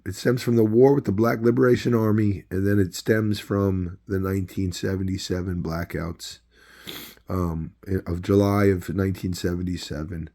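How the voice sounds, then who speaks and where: A man who is losing his voice, telling a long personal story in a rambling, halting way with many fillers. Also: fairly low pitch, dark tone. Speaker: video host. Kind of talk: casual personal storytelling to camera.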